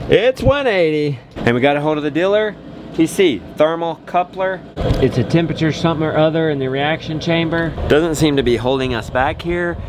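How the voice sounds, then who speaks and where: A man in his thirties narrating a casual homestead vlog to a handheld camera, upbeat and talking while he works outdoors. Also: on the high side. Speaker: homestead vlogger. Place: outdoors in woods and farm barn. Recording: outdoor noise behind the voice.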